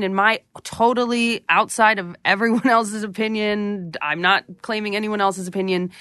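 A woman host with a lowish voice, curious and opinionated, mulling things over aloud between questions. Her voice sits dry in the mix, with no room sound. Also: a bit bright, no echo. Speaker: interviewer host. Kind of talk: interview questions and commentary.

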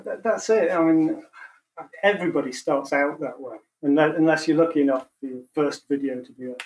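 A man who makes music videos, a soft-spoken guest on a podcast, talking over a video call and laughing often. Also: mid-range voice, highs cut off.

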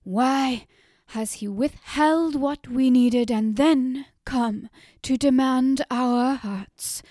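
A woman's voice: sits high, extremely slow, warm tone, no room sound, clean studio sound, pitched above the performer's own voice. Woman voicing a grief-stricken mother, shaking with rage. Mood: furious. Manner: shaking, shrieking, bitter. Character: grieving furious mother, a woman in middle age.

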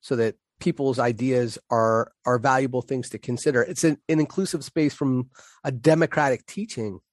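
A man's voice: mid-range voice, normal speed, dry recording, studio quality. A man co-hosting a casual conversation about teaching, easygoing and agreeable, filling his talk with "right" and "you know". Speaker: podcast co-host.

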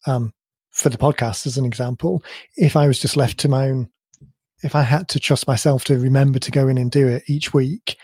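A man co-hosting a podcast in casual chat, his voice a bit croaky, thinking aloud in long rambling sentences. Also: medium pitch, fairly even intonation, a little fast, dark tone, no room sound. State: slightly croaky voice. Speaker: podcast co-host.

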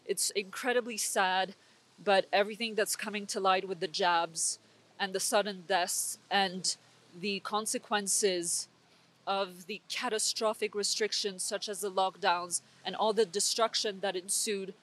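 A woman's voice: mid-range voice, fairly even intonation, unhurried, a bit bright. A young woman from Switzerland, speaking as an interview guest with intense, urgent political commentary and frequent restarts. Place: conference venue.

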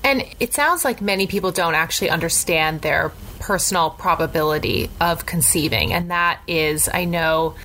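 A woman interviewer with a low, bright, forceful voice. She asks short, direct questions and moves the conversation along. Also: low-quality recording.

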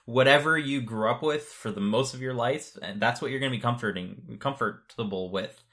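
A man's voice: mid-range voice, normal speed, a bit bright. A man co-hosting a podcast, explaining things in an informal, matter-of-fact way in casual conversation. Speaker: podcast co-host.

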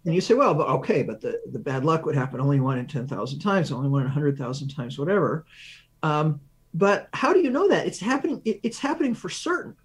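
A man with a fairly high voice gives a science explanation as an interview guest. He thinks aloud, setting up questions and then working through them with examples. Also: sing-song, fast talker. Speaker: research professor, interview guest.

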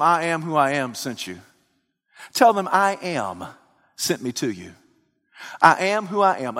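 Male preacher, high and very lively voice, dramatic swings from shouts to hushed tones, echoing hall. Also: gravelly. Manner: dramatic, swings from loud excited to low hushed. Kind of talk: sermon. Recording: long reverb of a large hall.

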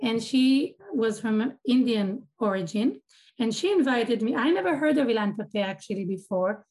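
A woman's voice: a little high, lively intonation, normal speed, a little mellow, poor audio. A woman from Israel whose first language is Hebrew, an academic and activist giving thoughtful interview answers and often restarting her sentences.

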